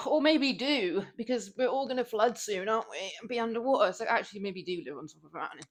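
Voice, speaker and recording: A woman's voice: fairly high pitch, expressive, fast. Young woman, southern English accent, casual chatty streamer, rambling, laughs a lot. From southern England. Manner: chatty, rambling, laughs often, strains on reps. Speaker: fitness streamer. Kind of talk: casual chat while lifting weights.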